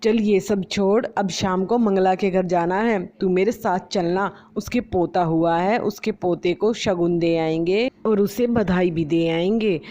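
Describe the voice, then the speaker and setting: A woman's voice: mid-range voice, fairly even intonation, a little slow, few pauses, dark tone, lightly acted. A woman voicing an exasperated, scolding middle-aged mother-in-law in a storybook. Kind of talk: storybook voice acting. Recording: slightly dull lo-fi recording.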